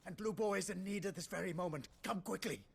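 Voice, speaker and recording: Male game role: earnest worried companion, urgent, high gravelly voice, slight background noise. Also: quick pace. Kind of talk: dramatic video game acting.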